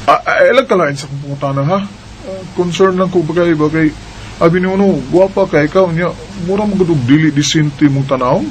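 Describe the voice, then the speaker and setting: A man's voice: on the high side, fairly quick, lightly acted, low-quality recording. A young man acting the lead in a homemade radio drama, playful and laughing when courting, earnest when troubled.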